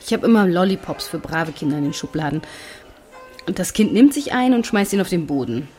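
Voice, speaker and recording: Actress as low-voiced German woman doctor abroad. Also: expressive, a little fast, dark tone, faint music behind, lightly acted. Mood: exasperated. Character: earnest German woman doctor living abroad, lonely, exasperated. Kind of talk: documentary-style personal monologue.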